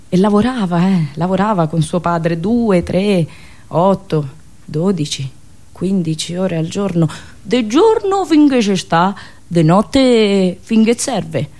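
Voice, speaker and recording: A woman with a rural central Italian accent telling a theatrical monologue, expressive, with dramatic pauses, repetitions and slips into rustic dialect. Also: fairly low pitch. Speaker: storyteller and radio show host.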